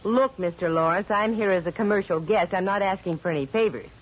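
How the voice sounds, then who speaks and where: An American actress playing a wry, sharp-tongued female private investigator in 1940s radio style, delivering dry wisecracks and narration. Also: medium pitch, lightly acted. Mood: clipped, dry. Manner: dry, sardonic, quick with wisecracks. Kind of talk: 1940s radio acting with first-person narration. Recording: muffled vintage radio broadcast with music.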